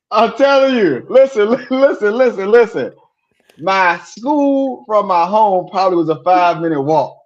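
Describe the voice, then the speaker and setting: A man co-hosting a sports podcast, talking casually and telling stories with animated repeats when excited. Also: sits high, dull audio.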